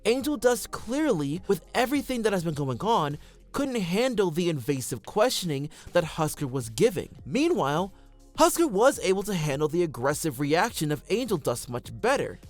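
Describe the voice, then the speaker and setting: A man reading scripted fan commentary as a voice-over for a video essay, close on the microphone. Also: sits high.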